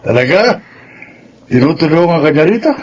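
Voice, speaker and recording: A man's voice: on the high side, sing-song, normal speed, a little mellow, husky, muffled recording. An actor with a Filipino accent playing a kindly, concerned elderly grandfather in a radio soap. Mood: mild, curious.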